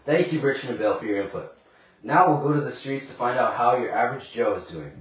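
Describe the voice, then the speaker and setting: Animated man acting as 1930s field reporter. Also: medium pitch, quick pace, lightly acted. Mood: brisk. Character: brisk 1930s male field news reporter. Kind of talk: scripted live-report acting. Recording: echoey, distant, dull, noisy with clicks.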